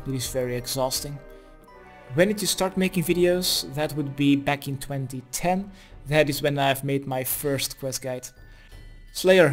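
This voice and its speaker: Higher-pitched man, casual voice-over. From Belgium. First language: Dutch. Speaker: gaming video creator. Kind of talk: casual Q&A voice-over, reading out questions and answering. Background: music.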